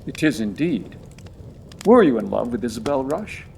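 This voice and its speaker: A man acting a brisk, authoritative police inspector with a polite but admonishing manner in an audio drama. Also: medium pitch, sing-song, gravelly, mellow, a little hiss or hum.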